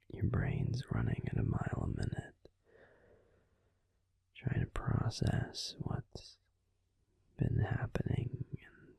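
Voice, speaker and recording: Man as breathy whispering werewolf boyfriend, slow. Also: fairly low pitch, no room sound, full character voice. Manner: gentle, soothing, unhurried reassurance with pauses. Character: grumpy, caring young male werewolf boyfriend. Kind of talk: whispered ASMR sleep-aid roleplay. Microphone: close, dry microphone.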